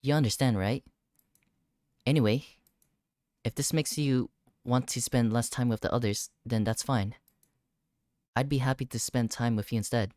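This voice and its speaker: A woman voicing a mild, apologetic teenage club president from a visual novel, gentle and self-deprecating. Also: extremely low pitch, moderate pace, long pauses, no room sound, clean studio sound. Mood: apologetic, soft. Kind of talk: acted reading of game dialogue.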